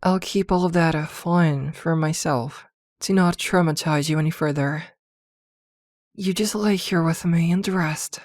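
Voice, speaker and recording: A woman playing a possessive, protective witch girlfriend in an intimate ASMR roleplay, with a soft, coaxing murmur. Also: low-pitched, a little slow, no echo, clean studio sound. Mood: soothing.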